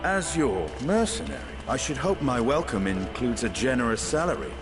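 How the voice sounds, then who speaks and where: An actor playing a taciturn, dry-witted male mercenary knight in a video game, terse and deliberate. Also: medium pitch, big pitch swings, over music. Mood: wry. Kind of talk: scripted game dialogue.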